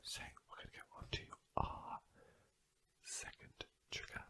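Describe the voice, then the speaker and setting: A man speaking in a soft, breathy whisper, gently guiding and reassuring in an ASMR clinic roleplay. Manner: slow, gentle, reassuring, asking for feedback. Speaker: ASMR performer playing a sleep clinic tester.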